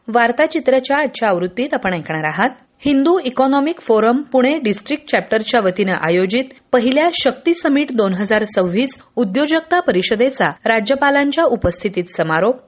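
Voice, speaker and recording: Woman, radio news presenter, highly varied intonation, formal scripted delivery, dull lo-fi broadcast sound. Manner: formal, measured news reading. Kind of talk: scripted newsreel narration.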